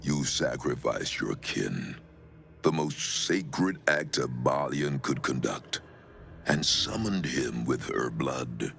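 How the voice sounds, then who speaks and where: An actor playing a grim, murderous undead cult elder in a fantasy game, with solemn, archaic pronouncements. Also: male voice, a bit bright, heavily acted. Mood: scornful. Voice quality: very deep, gravelly. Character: dread undead male cultist elder, grim, murderous zealot. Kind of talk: dramatic fantasy game acting. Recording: music behind the voice.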